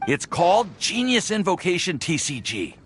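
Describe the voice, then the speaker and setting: A man's voice: sits high, normal speed, very noisy. A man playing an affable, awkward young alchemist in a video game, chatty and easily flustered. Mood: amused, enthusiastic. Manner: hesitant, friendly, animated. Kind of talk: video game character acting. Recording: music playing behind the voice.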